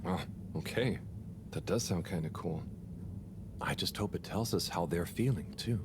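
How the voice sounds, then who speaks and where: A timid, earnest young helper. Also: male voice, low-pitched, normal speed, background noise. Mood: gentle. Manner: nervous, apologetic, rambling. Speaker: lab helper. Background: game music.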